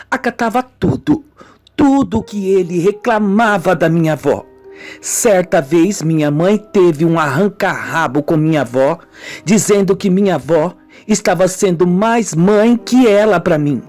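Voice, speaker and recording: A woman acting a slow, wounded Brazilian woman confessing. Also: on the low side, lively intonation, darkish tone. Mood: bitter. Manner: slow, melodramatic, deliberate storytelling. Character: Simone, wounded woman confessing her painful childhood, slow and brooding. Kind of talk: amateur radio soap acting. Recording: music bed under the voice.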